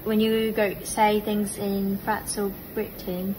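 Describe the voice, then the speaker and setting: A woman's voice: medium pitch, flat delivery, slow. A young woman chatting casually and playfully to a phone camera in a living room, joking as she introduces herself. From Philippines-born, grew up in America. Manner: joking, playful put-on accents. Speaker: guest niece introducing herself on her aunt's vlog. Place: living room indoors, on a sofa. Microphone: phone a short distance away. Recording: clearly noisy home phone recording.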